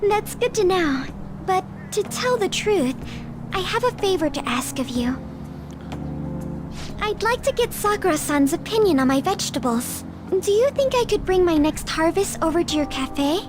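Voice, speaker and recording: Soft-spoken heiress, high and lilting, polite and formal; game audio with music. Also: female voice, big pitch swings, moderate pace. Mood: hopeful.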